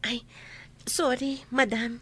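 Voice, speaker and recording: A woman's voice: fairly high pitch, flat delivery, slow, a bit bright, slightly rough, heavily acted. A young woman playing a meek, polite country housemaid in an Ilocano-accented radio drama. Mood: meek, deferential.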